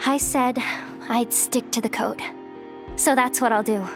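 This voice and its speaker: A young actress playing a guarded, pragmatic young woman with a soft heart and a wry, casual way of talking in a video game. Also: fairly high pitch, steady tone, measured pace. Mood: wistful. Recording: studio voice over game music. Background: background music.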